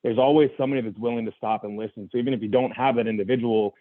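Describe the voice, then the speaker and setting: A man's voice: mid-range voice, fairly even intonation, rapid-fire, dry recording. A young adult man, a guest, telling his own story candidly in conversation, earnest and reflective, with many restarts and "you know"s. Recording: tinny phone-like line.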